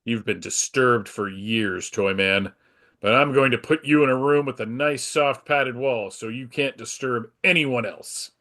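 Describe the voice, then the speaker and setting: A man's voice: medium pitch, a little slow, bright, forceful tone. A man playing an earnest, self-assured superhero in a live dramatic comic book reading. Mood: resolute. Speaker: performer in a dramatic comic book table read.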